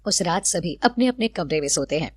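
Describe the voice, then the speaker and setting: A woman's voice: on the low side, expressive, fairly quick, breathy edge, dry recording, soft background music. A woman reading scripted narration for a cartoon family story.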